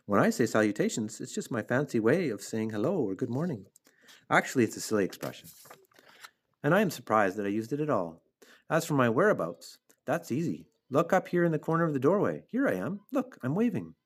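A man's voice: medium pitch, sing-song, fairly quick, husky. Man voicing a composed, genteel lady spider. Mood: friendly. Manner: composed, articulate, gently matter-of-fact. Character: kindly, self-assured female gray spider, small and genteel.

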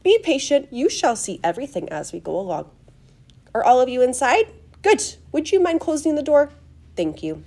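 A woman voicing an excitable, eccentric old male factory owner in a storybook, high and fluty, with effusive, gushing exclamations. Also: moderate pace, exaggerated voice. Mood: brisk, cheery.

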